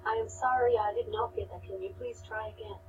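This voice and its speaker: A woman's voice: fairly high pitch, fairly even intonation, a little fast, distant mic, dull audio, background noise. A synthetic female voice assistant giving scripted prompts, played from a smart speaker across the room.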